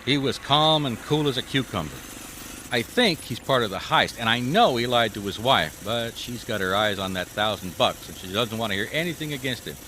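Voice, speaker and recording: An actor playing a dogged, skeptical private detective in a 1950s radio drama, wry and colloquial. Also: male voice, medium pitch, animated, brisk, bright, forceful tone, slight background noise. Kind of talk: radio detective drama acting, with first-person narration.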